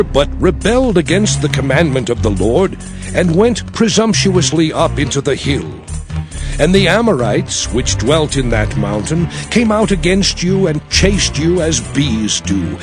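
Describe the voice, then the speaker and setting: An actor playing a solemn, commanding prophet who recounts his people's journey in emphatic, declamatory scripture drama. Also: a little high. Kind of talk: dramatized scripture narration, archaic English.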